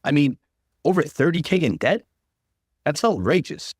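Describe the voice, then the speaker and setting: A man reading an online story aloud as the channel's narrator, in an expressive storytelling manner. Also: a little high, normal speed, husky, no room sound, clean studio sound.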